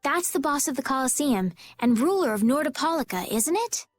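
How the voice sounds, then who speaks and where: A woman voicing a polite, gentle but determined young princess in an animated role-playing video game. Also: sits high, a little fast. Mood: earnest, polite. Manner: polite, sincere, hesitant. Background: faint background music.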